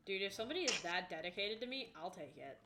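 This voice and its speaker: A woman's voice: medium pitch, sing-song, fast, background noise. A tired woman streamer chatting casually with viewers, joking bluntly and dryly.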